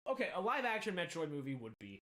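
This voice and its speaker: A man in his thirties with a slight Texan accent, hosting casual film news commentary in an animated, rambling, emphatic way. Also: on the high side, quick pace, a little hiss or hum. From Texas. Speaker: film news show host.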